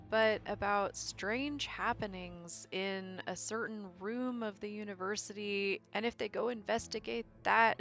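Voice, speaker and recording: A woman giving a conversational, explanatory narration as a content creator, telling it in an animated, emphatic way. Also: mid-range voice. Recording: voice over background music. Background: background music.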